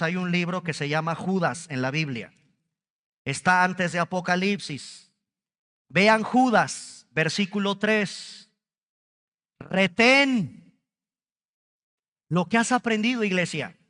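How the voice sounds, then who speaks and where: A Mexican man preaching to his congregation with a high, bright and smooth voice. He talks slowly and leans hard on key words. His voice rings through a church hall with a long reverb. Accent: Mexican Spanish. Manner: emphatic preaching, stretches key words, addresses congregation directly. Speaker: pastor. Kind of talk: sermon. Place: church service.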